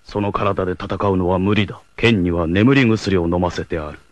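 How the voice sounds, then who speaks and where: An actor playing a noble, fatherly blind rebel warrior in an anime, with a solemn, formal, measured delivery. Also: deep voice, no echo, lightly acted. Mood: grave, earnest. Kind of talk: dramatic anime acting.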